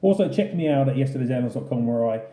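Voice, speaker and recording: A man's voice: medium pitch, animated, rapid-fire, mellow. A man hosting a casual unboxing review, talking in a conversational, explanatory way. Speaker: channel host, model airport reviewer. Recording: roomy, echoey indoor sound.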